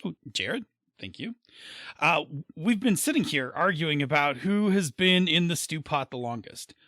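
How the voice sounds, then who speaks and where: A man's voice: fairly high pitch, lively intonation, unhurried, pushed and bright, no room sound, studio quality. A man playing an argumentative old-timer gnome, blustering about seniority in a comedic audio drama. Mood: aggrieved.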